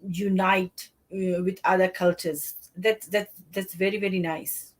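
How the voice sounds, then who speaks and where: A woman's voice: on the low side, flat delivery, unhurried. A woman from Fiji, a guest talking in a casual live interview over a video call, friendly and rambling, laughing often. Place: home in Sydney, afternoon.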